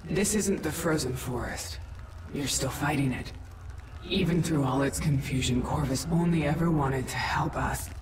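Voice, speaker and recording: An earnest, insistent devotee from a video game, played by a man. The fairly high voice echoes from a distance amid noisy ambience. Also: normal speed, close to an everyday voice. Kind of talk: dramatic video game acting.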